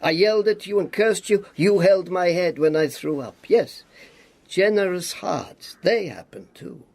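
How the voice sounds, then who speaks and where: An actor playing a weary, sardonic but affectionate communist spy and battered survivor in a radio drama, with theatrical, deliberate, clipped phrasing. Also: male voice, sits high, vocal fry, darkish tone, exaggerated voice. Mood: tender.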